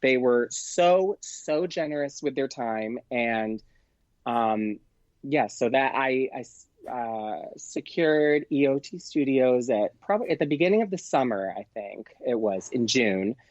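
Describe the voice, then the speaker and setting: A man speaks as a podcast guest in a casual, chatty storytelling style, animated and quick to act out dialogue. His voice sits at a medium pitch. The recording is dry and a little muffled. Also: no room sound. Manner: animated, acts out quoted exchanges. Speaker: podcast guest, performer and producer.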